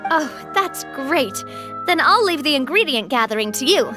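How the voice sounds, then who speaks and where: Cheerful young lady boss, high lively voice, gentle and hospitable, faint game music behind. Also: normal speed. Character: young noblewoman boss, warm and gracious. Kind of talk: video game voice acting.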